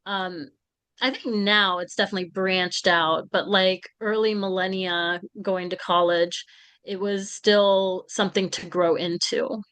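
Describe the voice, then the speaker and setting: A woman in her forties from Florida, a literary agent, talking in a measured, reflective way as an interview guest. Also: mid-range voice, fairly even intonation, a bit bright, clean tone, no echo. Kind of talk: conversational interview.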